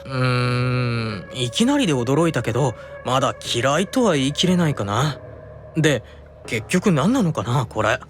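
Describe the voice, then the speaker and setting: An actor playing a gentle, unflappable, curious young man in an anime-style audio drama, with a mild musing manner. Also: mid-range voice, animated, a little slow, soft background music, natural voice. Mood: serene, curious.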